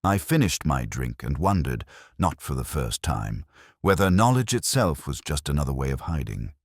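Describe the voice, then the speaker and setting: Man, extremely low voice, expressive swings in pitch, dry close sound, measured brooding detective narration. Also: no echo. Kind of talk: scripted first-person detective story narration.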